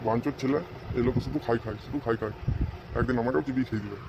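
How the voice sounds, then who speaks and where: A man voicing a grumpy, stingy, middle-aged cartoon father who gruffly scolds and curses. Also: medium pitch, steady tone, rapid-fire, lightly acted. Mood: irritated scolding. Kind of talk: amateur comic cartoon dubbing. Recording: muffled, faint background noise.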